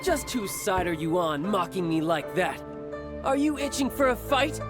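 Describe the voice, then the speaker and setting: A woman's voice: lowish voice, sing-song, moderate pace. A woman voicing a charming, scheming young male fighter in a video game, playful and teasing in a lilting way. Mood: indignant. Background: music.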